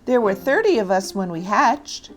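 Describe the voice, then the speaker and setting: A woman's voice: mid-range voice, big pitch swings, moderate pace, soft background music, natural voice. A woman voicing a curious, eager young male alligator in a children's storybook, inquisitive and direct.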